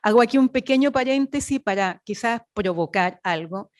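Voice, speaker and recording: A woman's voice: mid-range voice, lively intonation, normal speed. A woman with a Chilean accent giving an academic talk as a sociologist, emphatic and didactic, often checking that listeners follow. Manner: emphatic, didactic, with rhetorical questions and check-in tags. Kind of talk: academic lecture, part read and part improvised.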